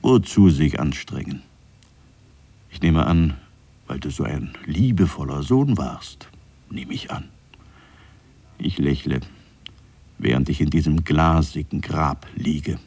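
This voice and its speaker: An older man acting a dead father who speaks wryly from his grave in a radio drama, husky and brooding, with halting phrases. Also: rumbling low, darkish tone, heavily acted. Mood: wistful, bitter.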